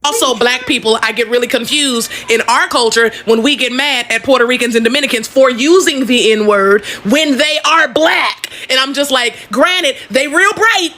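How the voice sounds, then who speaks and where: Bright high voice ranting emphatically. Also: normal speed, hardly any pauses. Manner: animated, emphatic, bursts of shouting. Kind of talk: casual vlog rant.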